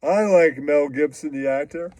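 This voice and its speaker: A man's voice: on the high side, normal speed, darkish tone, no echo, natural voice. A man voicing a slangy, boastful, foul-mouthed puppet who repeats catchphrases in comic puppet show banter. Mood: boastful, joking.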